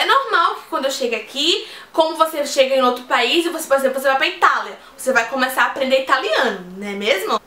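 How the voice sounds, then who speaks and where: A woman's voice: very high voice, pushed and bright, roomy sound. An eighteen-year-old woman from Brazil with a Brazilian Portuguese accent mixed with European Portuguese, chatting to her viewers from home. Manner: chatty, talks straight to viewers. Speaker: vlogger. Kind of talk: casual talk to camera. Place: room at home.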